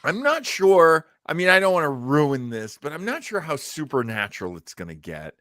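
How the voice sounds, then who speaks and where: A man's voice: a little high, sing-song, normal speed, fairly bright tone, dry recording, clean studio sound. A man co-hosting casual commentary on an old radio show, with a playful, joking manner. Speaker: co-host.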